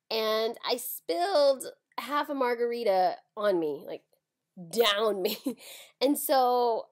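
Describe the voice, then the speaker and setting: High-voiced, dramatic young American woman. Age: 20s. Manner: dramatic, emphatic, gesturing. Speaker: storytime vlogger. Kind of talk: animated personal storytelling to camera. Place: small room at home.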